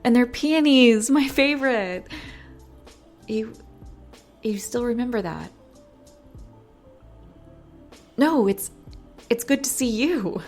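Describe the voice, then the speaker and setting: A woman playing a nervous, lovesick young pop star in an intimate audio roleplay, speaking in a halting, flustered way. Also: on the high side, lively intonation, faint music behind. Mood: shy, flustered delight. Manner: flustered, halting, self-interrupting, breathy sighs.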